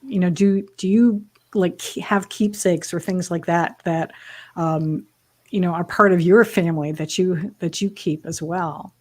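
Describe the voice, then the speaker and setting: A woman's voice: fairly low pitch, fairly even intonation, a little slow. A woman from Michigan hosting a livestream talk show, chatty and upbeat with frequent restarts. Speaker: show host.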